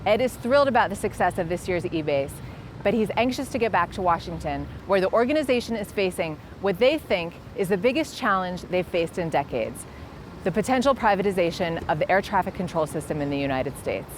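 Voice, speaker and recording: Woman reporter with clear background noise. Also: on the low side, expressive, brisk. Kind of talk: scripted news-style introduction.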